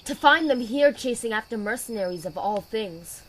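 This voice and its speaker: A woman playing a dutiful, weary knight commander in an audio drama, speaking in a measured, authoritative way. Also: a little high, animated, a bit bright, low-quality recording. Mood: weary, concerned.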